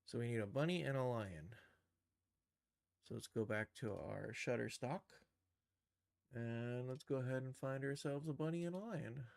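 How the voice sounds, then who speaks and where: Creaky-voiced man talking through a screen tutorial. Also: mid-range voice, normal speed, pauses often, darkish tone, no room sound, studio quality. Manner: thinking aloud, muttering short step-by-step remarks. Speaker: game developer streaming a tutorial. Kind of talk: casual screen-share walkthrough, narrating own steps.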